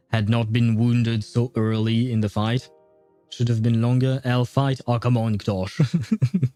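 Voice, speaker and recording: A man's voice: medium pitch, mellow. A man giving casual live commentary as a game streamer, often hesitating as he searches for words. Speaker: game livestreamer.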